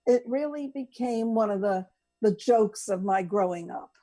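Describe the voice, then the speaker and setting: A woman co-hosting a casual talk, telling personal stories in a halting, deliberate way. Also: medium pitch, dark tone. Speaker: co-host.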